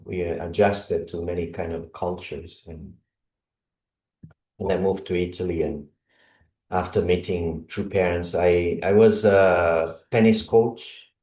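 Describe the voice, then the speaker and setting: This is a man born in Brazil with a low, deep voice, talking informally to a congregation before he preaches. He laughs often and keeps checking in with "right?" and "you know". The sound carries the echo of a large hall, picked up from some distance away. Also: darkish tone. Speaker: visiting preacher. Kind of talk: casual chat with a congregation before a sermon. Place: church hall. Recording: echoey hall, mic from a distance.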